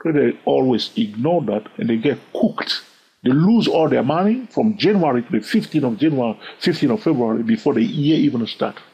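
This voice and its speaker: A man with a husky voice walks through price charts in a screen-recorded trading lesson. His pitch swings widely as he asks rhetorical questions and chuckles. The recording sounds dull and lo-fi. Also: animated, normal speed, mellow. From lives in London. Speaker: trading educator. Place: at a computer, voice over screen.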